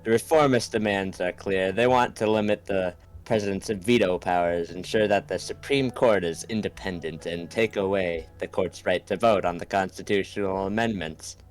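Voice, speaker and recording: A man's voice: sits low, normal speed, few pauses, natural voice, rough recording. A man playing an earnest reformist politician in a political game, making his points in a declarative way. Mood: earnest resolve. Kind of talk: scripted political game voice-over. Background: background music.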